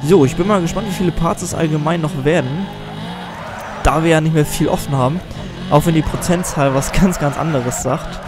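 Teen let's-play gamer, slightly high voice, loose rambling commentary, mild cold, game music underneath. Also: male voice, fairly quick. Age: teens. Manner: rambling, chatty, self-deprecating. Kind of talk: casual gameplay commentary. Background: game music and car sounds.

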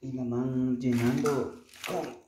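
A man's voice: mid-range voice, flat delivery, slow, dark tone. Man in an echoey, noisy workspace. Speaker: motorcycle restoration vlogger. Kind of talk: casual hands-on chat while working.

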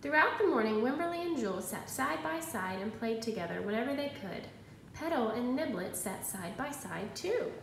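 Woman, 30s, primary teacher reading to young kids; high-pitched, expressive delivery, slightly noisy roomy sound. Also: moderate pace, vocal fry. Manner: expressive, instructive, prompting listeners with questions. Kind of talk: picture-book read-aloud for young children. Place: indoors in a room at home. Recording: roomy echo, faint background noise.

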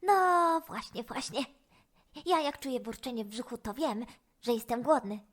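A woman's voice: a little high, expressive, normal speed. A woman voicing a cheerful little mouse detective in a children's audio story, explaining things simply and chirpily. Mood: cheerful, reassuring. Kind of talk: children's audio fairy tale acting.

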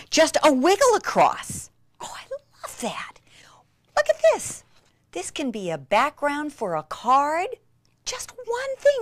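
An excitable, gushing woman giving an enthusiastic crafting tutorial, with breathy exclamations and soft, near-whispered asides. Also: mid-range voice, no echo. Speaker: craft demonstrator and presenter.

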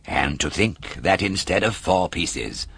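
A sly storybook fox, played by a man, drawls with oily, theatrical sympathy. The voice is husky and low, full of false virtue and swooping emphasis. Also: lively intonation, slow pace, a bit bright, dry recording, heavily acted. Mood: unctuous.

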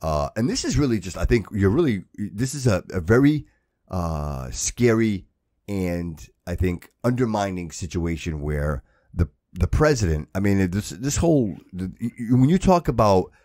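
A man's voice: sits low, very animated, moderate pace, darkish tone, no echo, studio quality. A man hosting a podcast in a casual, colloquial way, often checking in with the listener as he talks. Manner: informal, colloquial, often checking in with "right?" and "you know". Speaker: podcast host.

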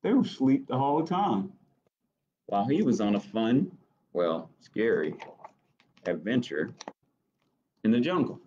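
Slow, animated American man reading to children. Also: medium pitch, highs cut off, a little hiss or hum. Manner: expressive, pausing to ask questions. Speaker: library storytime reader. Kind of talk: reading a picture book aloud to children, with asides. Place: small office, indoors.

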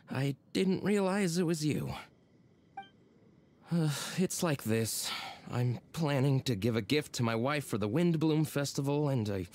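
Sheepish drunkard husband. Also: male voice, a little high, a little mellow. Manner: hesitant, lots of uhs. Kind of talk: video game voice acting.

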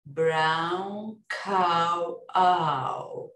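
Very slow Bosnian-speaking woman learner, echoey room. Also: fairly low pitch, fairly bright tone. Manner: careful, hesitant repetition. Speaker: learner repeating drills. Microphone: webcam on a video call. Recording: echoey room on a video call.

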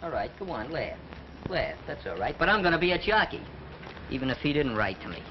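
A cocky New street kid. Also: male voice, fairly high pitch, sing-song, fairly quick. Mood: boastful. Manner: tough New York street slang. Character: cocky street kid set on being a jockey. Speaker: actor in a film. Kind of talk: 1940s film acting. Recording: dull old film soundtrack with hiss.

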